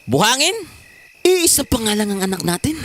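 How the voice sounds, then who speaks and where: An actor with a Filipino accent playing a harsh, quick-tempered husband and father in an audio drama, gruff and scolding. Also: sits high, big pitch swings, fairly bright tone, full character voice. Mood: angry. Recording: music bed under the voice.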